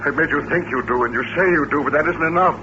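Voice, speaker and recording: An actor playing a bitter, lonely mutant with hypnotic powers in an old-time radio drama, intense and commanding. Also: male voice, on the high side, quick pace, highs cut off, natural voice. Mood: anguished, bitter. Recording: noisy, band-limited vintage radio recording.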